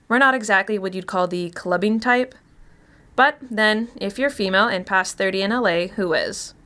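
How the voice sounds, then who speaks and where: A woman's voice: medium pitch, a bit bright, lightly acted. An actress playing a wry, chatty woman past thirty, telling her story as a confiding first-person monologue. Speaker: actor reading a short story.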